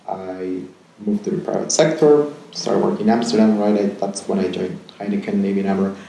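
Deep-voiced man in an echoey room. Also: dark tone. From Peru. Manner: thoughtful, repeats words and tags sentences with "right?". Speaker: podcast guest. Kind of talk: conversational interview answers. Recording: very echoey room, faint ambient noise.

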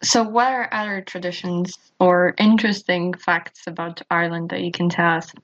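A woman's voice: lowish voice, flat delivery, normal speed, a bit bright. A woman hosting an interview, asking friendly questions over a video call. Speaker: interviewer host. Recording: dull lo-fi call audio.

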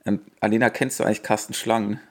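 A man with a light Swabian accent, co-hosting a podcast in a relaxed, chatty way with frequent fillers. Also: on the low side, fast talker. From Swabia, Germany. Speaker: podcast co-host. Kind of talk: casual chat.

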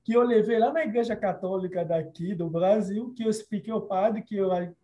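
Interviewee: man from Congo, non-native speaker, high mellow voice, earnest storyteller. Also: brisk, highs cut off. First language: not Portuguese. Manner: earnest storytelling with frequent restarts and soft laughs. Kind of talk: personal life story in an interview.

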